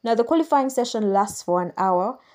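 A woman's voice: fairly high pitch, expressive, fairly quick, darkish tone. A Ugandan woman hosting a solo podcast, chatting and explaining things patiently for newcomers, correcting her own slips as she goes. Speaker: podcast host.

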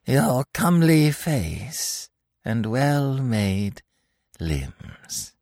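Gloating monster dwarf, wildly swooping, very slow and drawn-out, archaic gothic villain. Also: male voice, medium pitch, very animated, a little mellow, dry recording, clean studio sound, pitched above the performer's own voice, heavily acted. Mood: wheedling. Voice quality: screeching, gravelly. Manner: theatrical, drawn-out archaic speech. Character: gloating, mocking demonic dwarf monster, male.

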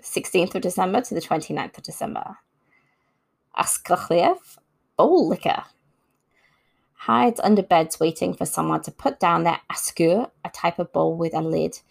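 A woman's voice: lowish voice, measured pace, gravelly, slightly rough. A woman hosting an informal talk on folklore, reading from notes and adding casual asides. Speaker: host. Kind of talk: informal scripted narration about folklore.

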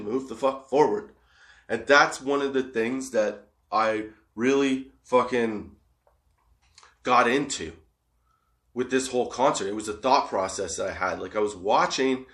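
A high man rambling casually and profanely about getting past setbacks, laughing often. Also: fairly bright tone, echoey room. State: high on cannabis.

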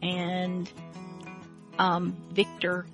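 Female radio host, low-pitched, very unhurried, folksy, informal, plucked guitar behind. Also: monotone. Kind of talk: casual show presenting. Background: plucked guitar music.

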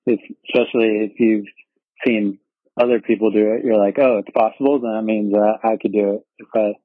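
A man's voice: fairly low pitch, fairly even intonation, fairly quick, dry recording. A man hosting a casual interview, asking questions in a laid-back, slangy way. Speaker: interview host. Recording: dry, phone-like remote call audio.

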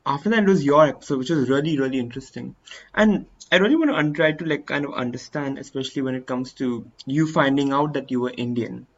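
A man's voice: mid-range voice, lively intonation, fast talker. Teenage man hosting a podcast, lo-fi. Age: young adult, 19. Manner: eager, rambling, with restarts and laughs. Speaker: podcast host. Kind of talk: casual interview.

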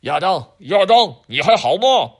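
A man voicing a flustered father, calling loudly and urgently to his son. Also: sits high, very animated, unhurried, husky, pitched above the performer's own voice, heavily acted.

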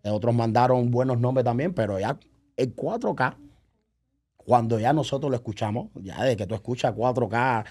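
A man's voice: mid-range voice, normal speed, a little mellow, slightly rough. A man chatting casually as a podcast guest and event promoter, telling stories by acting out remembered dialogue and checking that the listener follows. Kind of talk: casual chat, animated storytelling.

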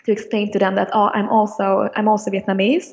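Young woman raised in Sweden, interview guest; gravelly, hesitant delivery, dull recording with long reverb. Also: medium pitch, little pitch movement, quick pace, a little mellow. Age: young adult. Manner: hesitant, many fillers and restarts. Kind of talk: casual interview answers.

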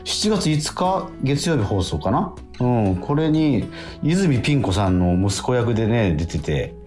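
A man's voice: mid-range voice, big pitch swings, unhurried, darkish tone, soft background music. A man hosting a radio show in casual chat, with frequent backchannels and chuckling asides.

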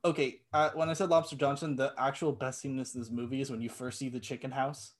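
A man's voice: medium pitch, level tone, quick pace. A man co-hosting a casual podcast chat, blunt, sarcastic and often profane. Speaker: podcast co-host. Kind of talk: casual chat reviewing a film.

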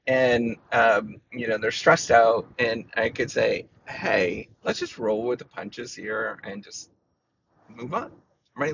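Rambling man with a gravelly, squeaky voice. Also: fairly bright tone, dull audio, slight background noise. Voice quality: says he has a squeaky voice. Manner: rambling, self-reassuring, many "you know" fillers. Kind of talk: reflective personal monologue.

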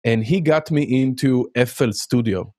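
A man's voice: medium pitch, normal speed, darkish tone, no room sound, studio quality. A man in his thirties from Israel with an Israeli accent, chatting as an interview guest and telling stories with many fillers and restarts. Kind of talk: casual interview chat.

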